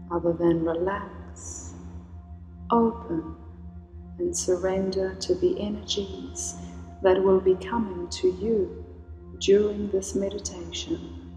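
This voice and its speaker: A woman guides a meditation in a slow, soothing voice, calling gently on healing energies. Her tone stays even, with little rise or fall. Her voice carries a long, roomy reverb, with faint music underneath. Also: mid-range voice. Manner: slow, soothing, invocational delivery.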